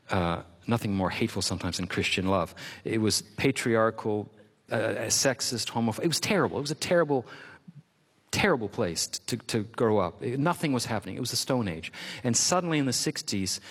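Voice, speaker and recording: A man's voice: lowish voice, animated, a little fast, husky, long reverb tail. A man from Quebec, a native French speaker, speaking as an author in an interview, thoughtful and expansive, repeating words for emphasis. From Quebec, Canada. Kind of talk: interview conversation.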